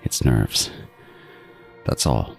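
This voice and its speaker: An actor playing an obsessive male serial killer, narrating his hunt in a brooding, deliberate way for horror audio fiction. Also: soft background music. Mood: agitated, nervous.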